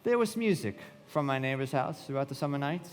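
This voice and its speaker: An actor playing a reflective, polite young man who narrates a radio play in measured storytelling. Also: mid-range voice, expressive, a little hiss or hum, lightly acted. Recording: reverberant live hall.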